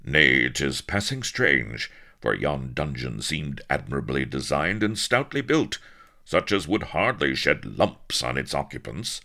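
A man's voice: very deep voice, sing-song, a little slow, creaky, pushed and bright, exaggerated voice. A man voicing a stiff, prim sea captain who speaks in pompous, archaic phrases. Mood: indignant.

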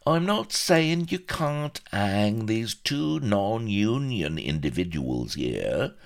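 A man's voice: fairly low pitch, very animated, slow, creaky, voice pushed up, heavily acted. A man voicing a tiny, prim, officious Welsh pirate who argues and quotes rules in a Welsh accent, for a story reading. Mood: insistent, passionate. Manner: officious, argumentative, rule-quoting. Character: tiny prim fussy male Welsh pirate, union stickler.

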